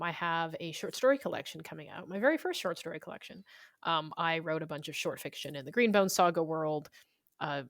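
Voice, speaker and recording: A woman's voice: low voice, fairly bright tone, no room sound. A woman being interviewed as a guest author, talking in an informal, thoughtful way. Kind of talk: conversational interview.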